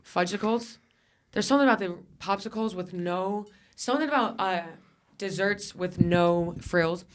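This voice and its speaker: A woman's voice: medium pitch, normal speed. A woman from Buffalo hosting a comedy podcast in a studio. She chats casually, swears often and jokes around, and her voice is a little worn from vaping. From Buffalo, New York. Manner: crude, jokey, rambling. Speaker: podcast host.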